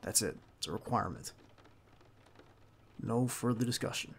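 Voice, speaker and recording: A man playing a protective, earnest bodyguard in an ASMR roleplay, speaking haltingly and insistently straight to the listener. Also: on the low side, animated. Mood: firm, insistent. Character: protective, earnest young male bodyguard to a crime boss. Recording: clear background noise under the voice.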